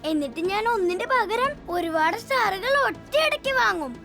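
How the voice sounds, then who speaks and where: A woman's voice: very high voice, big pitch swings, moderate pace, vocal fry, exaggerated voice. A woman voicing a whiny, jealous young boy in a cartoon. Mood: sulky. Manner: whiny, sulky. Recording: cartoon soundtrack with loud music.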